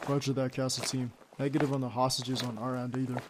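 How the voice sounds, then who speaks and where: A man's voice: medium pitch, little pitch movement, fast talker, background noise, close to an everyday voice. A man playing a young secret agent who leads his team and is a devoted boyfriend, in an audio roleplay drama. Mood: controlled, focused. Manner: clipped military radio commands.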